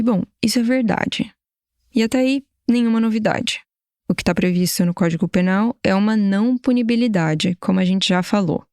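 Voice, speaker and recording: Measured Brazilian woman podcast narrator. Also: medium pitch, darkish tone, dry recording, clean studio sound. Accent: Brazilian Portuguese. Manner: measured, explanatory delivery. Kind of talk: scripted narration.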